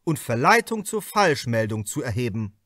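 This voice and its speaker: A man voicing a pompous male state prosecutor in an audiobook, halting and formally declaiming. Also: medium pitch, very animated, fairly bright tone, dry recording, clean studio sound. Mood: pompous, official. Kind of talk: acted audiobook reading.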